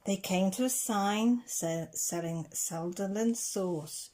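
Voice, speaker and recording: Very slow-paced woman reading a storybook. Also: mid-range voice, mellow, slight background noise. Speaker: reader of children's picture books. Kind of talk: storybook read-aloud.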